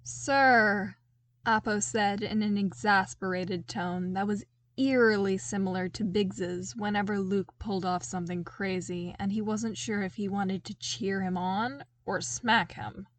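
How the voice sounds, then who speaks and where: A woman's voice: mid-range voice, steady tone, a little slow, hardly any pauses, dry recording. A woman reading a story aloud as a scripted audiobook-style narration. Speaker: podfic narrator.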